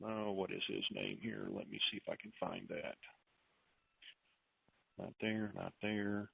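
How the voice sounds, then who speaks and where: A man's voice: on the low side, fairly even intonation, a little slow, gravelly, slightly rough, dry recording. Folksy man hosting over a tinny phone line. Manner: folksy, disorganized, thinks aloud while searching notes. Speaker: conference call host. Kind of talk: informal, rambling announcements to listeners on a group call. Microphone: telephone.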